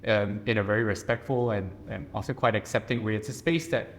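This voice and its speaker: A poet from Singapore with a Singaporean accent, telling a personal story in a measured, earnest way on a panel. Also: male voice, on the low side, fairly even intonation, slight background noise. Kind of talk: personal reflective talk. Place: reception room at a residence.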